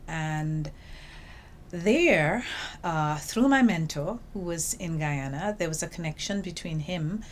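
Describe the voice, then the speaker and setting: Older woman, Trinidadian accent, low voice, lively storyteller, slight background hiss. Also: slow. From Trinidad and Tobago. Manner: lively storytelling, acts out quoted remarks. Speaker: interviewee, UN information officer. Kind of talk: reflective interview answers. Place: small office indoors.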